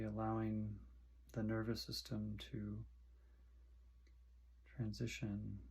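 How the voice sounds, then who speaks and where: Husky, creaky low-voiced man guiding meditation. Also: mellow, low-quality recording. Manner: slow, soothing, with long pauses between short phrases. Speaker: meditation guide. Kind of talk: guided meditation instruction.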